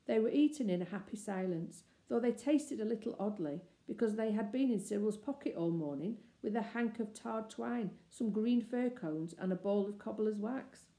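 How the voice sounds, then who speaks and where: A woman reading a children's story aloud as expressive narration. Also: mid-range voice, moderate pace, hardly any pauses. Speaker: storybook reader. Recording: roomy, echoey indoor sound.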